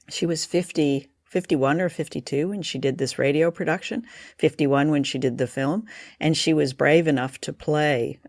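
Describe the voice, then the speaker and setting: A man with a fairly high, very creaky voice hosts a relaxed conversational discussion. He talks appreciatively and keenly, in a close, dry sound. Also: dry recording. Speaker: podcast host leading discussion. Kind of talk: conversational film and radio discussion.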